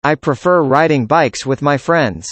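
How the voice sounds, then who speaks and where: A man reading a student's part in a scripted classroom conversation, with an even, measured delivery. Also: on the high side, dry recording. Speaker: student in a dialogue.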